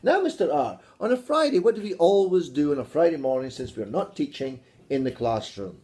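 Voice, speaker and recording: Playful Scottish man with a mellow voice. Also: on the high side, sing-song, fairly quick, slight background noise. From Scotland. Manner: playful, teasing, jokey asides. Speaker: English teacher. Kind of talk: casual video lesson.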